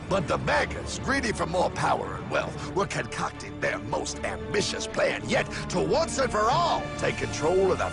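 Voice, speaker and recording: A man's voice: medium pitch, gravelly, full character voice. A man with an American accent voicing a folksy, sardonic wise-guy guide in a cartoon, explaining things theatrically. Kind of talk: cartoon narration and dialogue.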